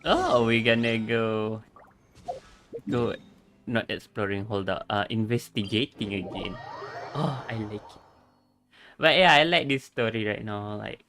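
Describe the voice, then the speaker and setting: A man's voice: on the low side, measured pace, long pauses, fairly bright tone. A man livestreaming a video game, commenting casually as he reacts. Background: game music.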